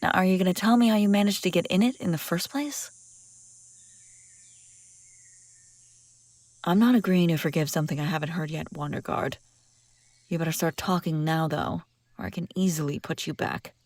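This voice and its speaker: A woman's voice: on the low side, quick pace, no echo. Woman acting as commanding fugitive princess, close and dry. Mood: stern, guarded. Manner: dramatic, expressive, speaking straight to the listener. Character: escaped enemy princess, commanding, incredulous, wry. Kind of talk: immersive audio roleplay acting, one side of a dialogue.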